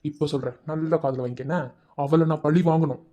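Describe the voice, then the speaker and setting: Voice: mid-range voice, fairly even intonation, a little fast, mellow, lo-fi sound, natural voice. A performer voicing a jealous, vengeful male college bully who keeps barking questions to browbeat a rival in a comedy sketch. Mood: menacing. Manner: bossy, drilling questions with "enna?". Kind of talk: comic sketch acting.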